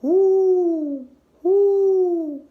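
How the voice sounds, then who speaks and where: A woman voicing a father who calls out like a great horned owl in loud, high hoots. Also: very slow, narrow, tinny audio, full character voice. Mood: calling out.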